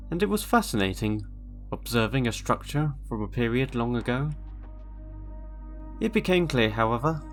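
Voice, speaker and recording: A man narrating a scripted first-person horror story in a measured, deliberate way with dramatic pauses. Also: mid-range voice. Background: eerie background music.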